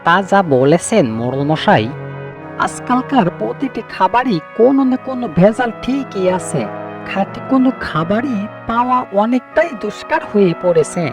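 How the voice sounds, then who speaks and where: A man's voice: sits high, very animated, moderate pace. A man playing an eager, deferential village servant and crier in a cartoon, agreeable and fawning in manner. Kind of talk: cartoon moral-tale acting. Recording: music behind the voice.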